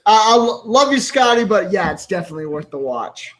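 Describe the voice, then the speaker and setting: An excitable American man laughing and swearing in casual stream chat. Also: high-pitched, fairly quick.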